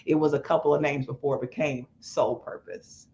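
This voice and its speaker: A woman's voice: a bass voice, fairly even intonation, brisk, a little mellow, muffled recording. A woman playwright speaking unscripted as an interview guest, effusive and devout in the way she talks.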